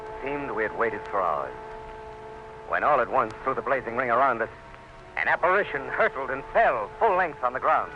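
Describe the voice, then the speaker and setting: Guy playing serious commissioner narrating old radio play, medium voice, measured, music behind, muffled sound. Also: medium pitch, lively intonation, close to an everyday voice. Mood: grave, relieved. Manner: grave, measured, dramatic. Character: sober male commissioner recounting a harrowing rescue. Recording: muffled vintage radio recording.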